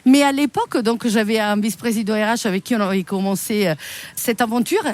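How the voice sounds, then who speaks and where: A woman's voice: fairly high pitch, a little fast, darkish tone. A woman from Italy with an Italian accent, a native Italian speaker, answering interview questions conversationally as a communications producer and presenter.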